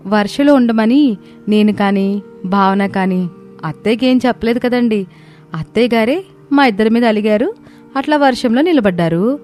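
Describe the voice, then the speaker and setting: A woman's voice: on the high side, normal speed, pauses often, darkish tone, faint music behind, lightly acted. A woman voicing an earnest, respectful young daughter-in-law who pleads and explains in a family moral story. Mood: defensive. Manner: respectful, persuasive. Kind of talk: dramatised moral story dialogue.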